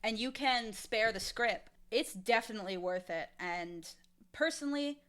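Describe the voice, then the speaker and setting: A woman chatting casually as an interview guest, explaining her craft in an animated, emphatic way. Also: a little high, moderate pace. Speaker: interview guest, audio drama creator and casting director.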